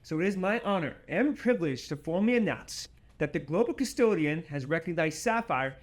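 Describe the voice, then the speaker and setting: A man's voice: a little high, expressive, brisk. A man co-presenting a scripted corporate announcement in a formal manner. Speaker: company co-presenter.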